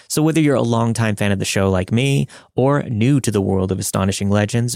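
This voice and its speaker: A man with a fairly low voice works as a podcast host and narrator. He delivers scripted material in a storytelling style. The recording is dry, with very little room in it. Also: gravelly, a little mellow, dry recording. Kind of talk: scripted first-person story narration and host-read promo.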